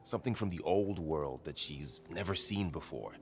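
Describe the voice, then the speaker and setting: A young soldier and loyal friend in a video game. His voice is deep, with a gentle, thoughtful delivery. He comes across as kind and easygoing. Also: normal speed, soft background music. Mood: wry, amused. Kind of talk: video game cutscene acting.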